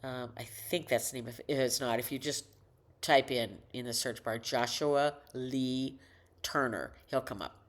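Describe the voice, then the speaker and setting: Older woman, deep alto voice, rambling personal chat, halting restarts, desk mic at home. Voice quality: low alto voice. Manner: rambling, halting, many restarts and self-corrections. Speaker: vlogger. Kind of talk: casual personal monologue. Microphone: desk microphone.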